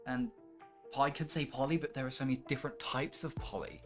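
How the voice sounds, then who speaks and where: A man speaks at an average pitch in a casual, opinionated discussion, with music clearly playing behind him. He is emphatic and argues his points in an animated, sweary way. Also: fairly quick.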